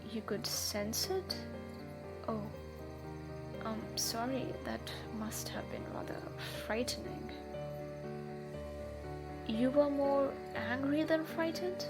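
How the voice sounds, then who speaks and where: A woman's voice: sits high, heavily acted. A woman playing a wily, menacing thief in a scripted fantasy audio roleplay, with a theatrical delivery. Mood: surprised, amused.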